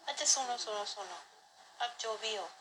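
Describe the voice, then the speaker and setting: High-pitched woman on a noisy prank call. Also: normal speed, bright, forceful tone. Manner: insistent, questioning. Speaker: prank caller. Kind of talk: flirty scripted prank call lines, repeated.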